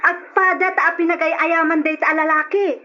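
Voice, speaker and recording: A woman's voice: extremely high pitch, fairly even intonation, normal speed, sharp tone. An actress playing a scorned, reproachful mistress confronting her cheating lover in an Ilocano radio drama, accusing and insistent. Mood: accusing, defiant. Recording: dull old radio drama recording, noisy.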